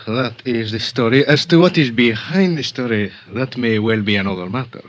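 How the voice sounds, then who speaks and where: A man playing a polite but firm, suspicious Mexican police lieutenant in 1950s radio drama, with a Mexican accent and formal, measured delivery. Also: medium pitch, lightly acted. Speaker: actor in a radio detective serial. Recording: dull vintage radio recording.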